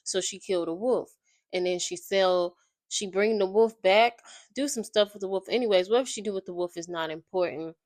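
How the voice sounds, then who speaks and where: A woman's voice: mid-range voice, moderate pace, darkish tone, dry recording, clean studio sound. A young woman, slightly nasal and congested, casually summarizing a book in a loose, rambling way and often searching for words. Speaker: YouTuber summarizing a book while playing a game.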